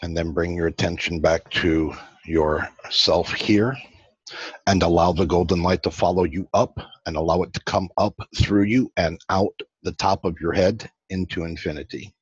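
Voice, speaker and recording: A man leading a guided meditation as host, giving slow, soothing instructions with long pauses and a husky voice. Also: low-pitched, steady tone. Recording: dull, lo-fi, roomy sound.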